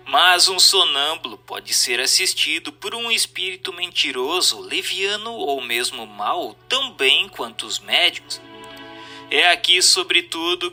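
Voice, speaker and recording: A man's voice: on the high side, sharp tone. A man reading a scripted narration in a formal, didactic way with careful enunciation. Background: music.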